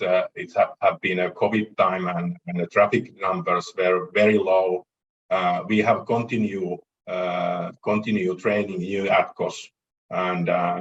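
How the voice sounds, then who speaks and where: A man with a Finnish accent giving an unscripted panel answer, speaking haltingly and repeating words. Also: sits low. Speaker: panel speaker from air navigation industry. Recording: dull lo-fi audio.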